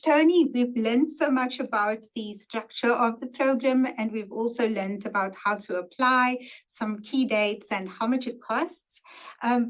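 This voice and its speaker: A woman hosts a university webinar over a video call, her voice on the higher side. She sounds polite and gracious, thanking each speaker before asking a prepared question. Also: rough recording. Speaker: webinar moderator.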